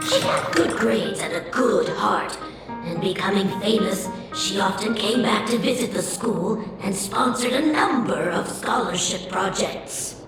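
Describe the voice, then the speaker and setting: An actress playing a kindly, gentle older teacher who reminisces about former pupils in a video game. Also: female voice, mid-range voice. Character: kindly longtime schoolteacher, reminiscing, protective of her former pupils. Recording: echoey long reverb, loud background music.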